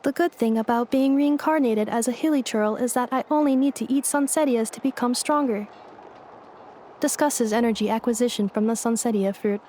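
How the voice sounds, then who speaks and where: A woman voicing a polite, literal-minded female robot maid in a video game, formal and deadpan, announcing things procedurally. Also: fairly high pitch, moderate pace, a little hiss or hum, lightly acted.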